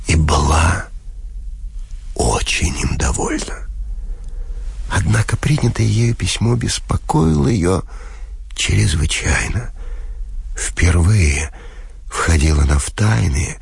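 Deep, gravelly, husky male storyteller. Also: no echo, studio quality. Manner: measured, dramatic phrasing with deliberate pauses. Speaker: audiobook narrator. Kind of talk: expressive literary scripted narration.

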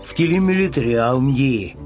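Man acting stubborn little storybook bear cub, pompous, dry, drawn-out official delivery. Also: mid-range voice, lively intonation, darkish tone, lo-fi sound, soft background music, lightly acted. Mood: aloof, important. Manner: self-important, solemn, dry, deliberate.

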